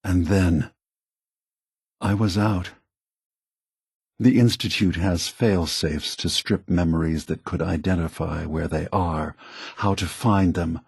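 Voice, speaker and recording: An aged synthetic leader from a video game, gentle and weary. His voice is low, breathy and very dry, close and free of room sound. He speaks slowly and deliberately, reassuring and persuading like a patient philosopher. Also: steady tone, no room sound, full character voice. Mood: calm, reassuring. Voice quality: soft, husky, breathy.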